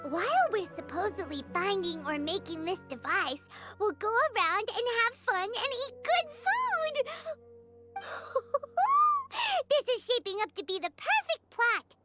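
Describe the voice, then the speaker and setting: A woman voicing a small, childlike, excitable fairy companion in a video game, bubbly in manner. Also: very high-pitched, takes it slowly, full character voice. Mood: excited. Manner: refers to self in third person, bubbly. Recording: music playing behind the voice.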